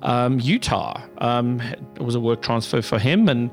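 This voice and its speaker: A man's voice: mid-range voice, expressive, a little slow, soft background music. A middle-aged man with a South African accent, answering interview questions thoughtfully with many fillers and restarts. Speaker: executive director of a pride center.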